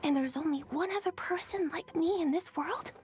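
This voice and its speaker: A woman voicing a young boy dragon in a video game, shy, gentle and earnest, with a soft, hesitant, sincere delivery. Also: extremely high pitch, normal speed, faint music behind. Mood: grateful, earnest.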